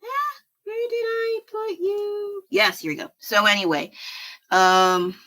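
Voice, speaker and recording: A woman with a low voice, chatting casually at her home desk while drawing on a livestream, rambling and poking fun at herself with frequent laughs. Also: big pitch swings, takes it slowly, bright, forceful tone. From United States. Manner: rambling, self-deprecating, laughs often. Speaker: livestreaming artist.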